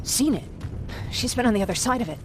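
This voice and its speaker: An actress playing a brisk, urgent survivor scavenger in a video game. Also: female voice, a little high, expressive, background noise, close to an everyday voice. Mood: serious. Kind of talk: cinematic video game acting.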